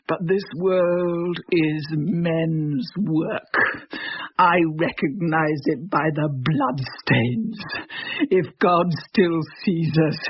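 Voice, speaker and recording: A slow, grieving, starving widow. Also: female voice, fairly low pitch, breathy edge, full character voice. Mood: weary resignation. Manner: slow, mournful, anguished delivery. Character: starving, grieving village widow and mother, bitter and despairing. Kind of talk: dramatic monologue in a horror radio play. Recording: dull lo-fi radio drama recording.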